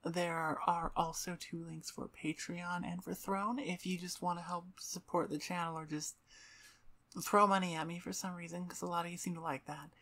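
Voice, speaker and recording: A woman's voice: on the low side, little pitch movement, moderate pace, a bit bright. A woman chatting informally and self-deprecatingly as channel host, rambling casually close to her microphone. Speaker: ASMR voice performer, channel host. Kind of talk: casual rambling outro chat. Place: on the floor in front of a computer desk. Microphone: microphone about twelve inches away at eye level.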